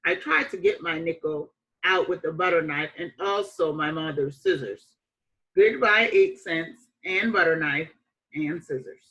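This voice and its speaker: A woman reading a children's picture book aloud like a teacher, with expressive, emphatic phrasing and deliberate dramatic pauses. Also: low voice, dull audio.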